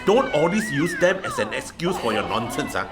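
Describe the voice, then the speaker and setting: A woman's voice: low voice, huge pitch swings, normal speed, over music, heavily acted. A woman playing a blunt, scolding kampong neighbour in a radio play, speaking with a Singaporean accent. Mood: scolding, exasperated.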